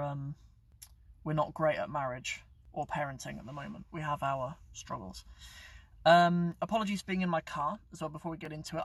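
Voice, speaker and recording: Guy, young adult, rambling live-stream host, fairly high voice, dry car recording. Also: gravelly, slightly rough, no echo, recorded from a distance. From England. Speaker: YouTube channel host. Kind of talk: casual live-stream chat. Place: inside his parked car.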